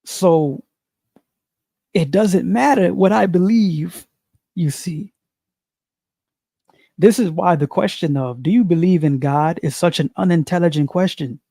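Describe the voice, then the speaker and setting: Mellow-voiced man preaching slowly. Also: sits high, vocal fry, no echo, clean studio sound. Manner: slow, preachy, repeats phrases, frequent "you see". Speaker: spiritual commentator. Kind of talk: unscripted monologue on philosophy.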